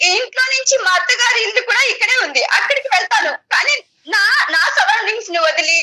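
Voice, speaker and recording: A young woman speaks in a very high, piercing voice. She is insistent and emotional, pressing a personal plea like a guest at a counselling session. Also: fast, pushed and bright. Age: young adult.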